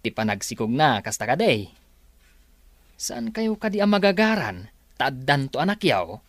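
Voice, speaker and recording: A man's voice: mid-range voice, very animated, normal speed, no echo. An actor with an Ilocano accent playing a teasing, hesitant male sidekick to his boss in a radio drama.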